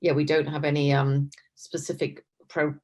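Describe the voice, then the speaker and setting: A woman's voice: low-pitched, level tone, moderate pace, darkish tone. A young woman, a course tutor, answering on a video call, hesitant with many fillers and restarts. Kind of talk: answering on a webinar.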